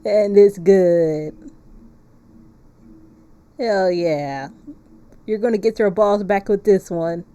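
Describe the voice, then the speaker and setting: A woman's voice: mid-range voice, slow, pauses often, mellow, clean tone. A woman streaming a game, chatting casually and playfully as she reacts aloud. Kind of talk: casual live-stream chat while playing a visual novel.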